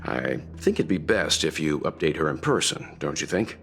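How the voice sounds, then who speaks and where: Very deep-voiced, wise fatherly mentor. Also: expressive, fairly bright tone, exaggerated voice. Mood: calm, fatherly. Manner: measured, philosophical. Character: calm, wise, fatherly older gentleman mentor. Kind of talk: video game voice acting. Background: game music.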